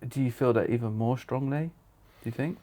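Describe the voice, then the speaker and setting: A man in his late thirties hosting a podcast. He speaks in a reflective, easy manner, often laughing and asking searching questions, at an ordinary middle pitch. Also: a little fast, dark tone. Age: late 30s. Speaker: podcast host. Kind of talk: casual podcast chat.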